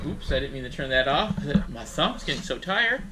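A middle-aged man giving a casual, folksy how-to talk at home, speaking haltingly into a camera a few feet away. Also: mid-range voice, very animated, background noise. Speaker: host demonstrating audio gear. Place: room in a house.

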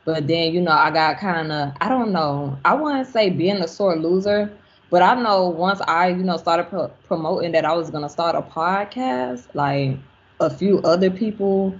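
A woman in her twenties, a guest on a podcast, speaking in a relaxed, rambling way over a video call from home. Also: lowish voice, normal speed, muffled recording. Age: 20s. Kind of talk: casual chat. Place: home room. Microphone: webcam on video call.

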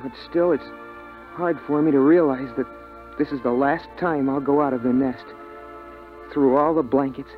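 A man's voice: medium pitch, steady tone, moderate pace, natural voice. An actor playing an earnest, hesitant boy in a survivor family, in 1950s radio drama style. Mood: reflective. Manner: hesitant, wistful, plain-spoken. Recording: tinny 1950s radio recording with music.

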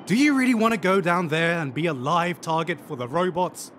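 A man's voice: fairly high pitch, brisk, a bit bright, a little hiss or hum, lightly acted. A man playing a scheming, impatient thug with a sneering manner in a video game. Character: scheming, impatient thug, contemptuous of his partner.

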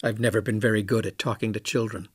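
A thoughtful ex space commander, measured and candid, dry close sound, sci-fi audio play. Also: male voice, on the low side, flat delivery, slightly rough, no echo. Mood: wistful, candid. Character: reflective, candid former station commander, male simulation. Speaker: actor in an audio drama. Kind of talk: science fiction audio drama acting.

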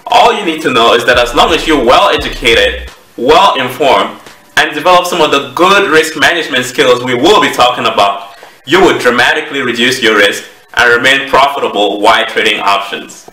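A man in his thirties with a Cameroonian accent, giving a measured, explanatory presentation to camera as a trading educator. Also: mid-range voice, bright, forceful tone. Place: small home office, indoors. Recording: roomy echo, distant microphone.

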